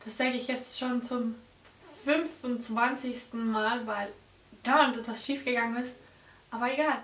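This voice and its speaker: A woman's voice: a little high, steady tone, unhurried, a bit bright. A teenage woman from South Tyrol, a native German speaker with a South Tyrolean accent, chatting casually to her viewers as a vlogger. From South Tyrol, Italy. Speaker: vlogger, exchange student. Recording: roomy echo, distant mic, background music.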